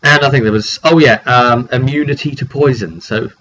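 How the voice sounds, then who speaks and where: A man with a cold who often clears his throat, casually recapping a tabletop roleplay session as game master in halting, phrase-by-phrase storytelling. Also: mid-range voice, fairly bright tone. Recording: dull lo-fi makeshift mic, clearly noisy.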